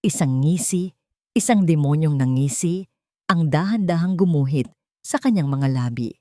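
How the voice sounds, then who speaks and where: A woman's voice: sits low, lively intonation, normal speed, dark tone, no echo, clean studio sound. A woman reading a scripted romantic drama as a storyteller, in a dramatic narrating style.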